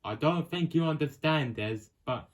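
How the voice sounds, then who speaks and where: A man's voice: fairly high pitch, animated, normal speed, natural voice. A man playing a bossy, exasperated cat owner who pleads and insists, in improvised comedy. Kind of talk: improvised comedy role-play.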